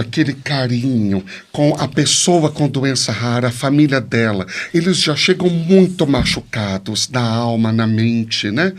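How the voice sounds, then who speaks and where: A Brazilian man speaking Portuguese with a Brazilian accent as a podcast guest priest, telling personal stories expressively with rhetorical questions. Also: on the high side. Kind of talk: personal storytelling in a podcast interview.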